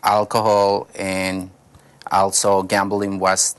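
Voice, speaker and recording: A man, a pastor, telling his life story in a studio interview, halting and searching for words. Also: low-pitched, completely flat delivery, a bit bright, smooth. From Colombia. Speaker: pastor, guest. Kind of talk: personal testimony interview.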